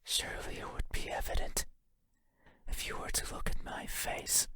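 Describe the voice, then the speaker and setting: A man voicing a lonely, yearning monster for a horror game, in a breathy whisper with a halting, intimate manner. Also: sharp tone, close to an everyday voice. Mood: eager longing. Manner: halting, intimate, musing, formal phrasing. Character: lonely wordless monster lurking in the dark, yearning, eerie. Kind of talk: video game horror monologue.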